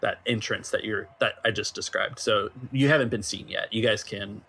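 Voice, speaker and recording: Bright-voiced man running a tabletop game. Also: on the low side, fairly even intonation, moderate pace, slightly rough. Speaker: game master. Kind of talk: improvised narration of a tabletop role-playing game.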